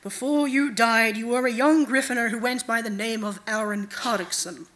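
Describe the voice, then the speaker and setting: A woman voicing a cold, manipulative female night god in a deliberate, commanding manner, as in a fantasy audiobook. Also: on the high side, fairly even intonation, fairly bright tone, close to an everyday voice. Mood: calm, grave.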